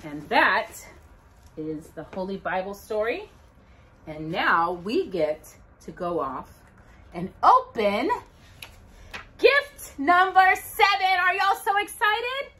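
A middle-aged woman hosting a children's storytime, reading aloud in an expressive, deliberate, dramatic way with emphatic pauses. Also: on the high side. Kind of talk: expressive read-aloud of Bible verses and a children's Christmas book. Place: living room at home, indoors by a brick fireplace.

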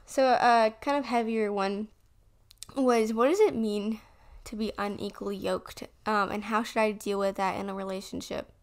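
An eighteen-year-old woman hosting a faith podcast in casual chat, talking quickly with lots of "like" fillers. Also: mid-range voice, steady tone. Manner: quick, chatty, with many "like" fillers. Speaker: podcast host. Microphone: desk condenser mic.

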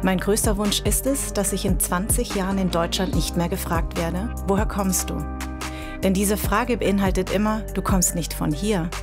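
A woman's voice: mid-range voice, steady tone, moderate pace, music in the background. A woman in her forties from Germany with a light Hessian accent, hosting an interview podcast and asking questions thoughtfully. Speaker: podcast host. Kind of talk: scripted intro, then interview.

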